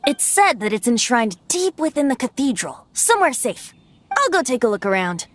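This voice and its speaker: A boastful, playful young bard from a fantasy video game, speaks in a high, swooping voice. He is theatrical and teasing, savoring flowery phrases, with music playing behind him. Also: very animated, moderate pace, no echo. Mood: coaxing, charming.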